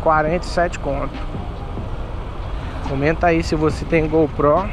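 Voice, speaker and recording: A man's voice: a little high, steady tone, a little slow. A young man, chatting casually and rambling to viewers while riding a motorbike, full of street slang. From Manaus, Brazil. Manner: slangy, rambling, addresses viewers as mano and tropa. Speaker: motovlogger delivery rider. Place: outdoors riding a motorcycle in city traffic. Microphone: helmet-mounted action camera. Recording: loud road and engine noise. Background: traffic and motorcycle engine.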